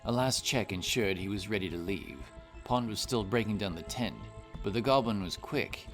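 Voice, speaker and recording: A man's voice: fairly low pitch, normal speed. A man reading scripted fantasy story narration as the narrator of an audio drama. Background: music.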